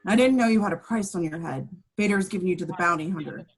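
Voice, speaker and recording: A woman's voice: fairly low pitch, quick pace, breathy edge, rough recording. A woman playing a worried, defensive male city administrator in an amateur script read, terse and protesting.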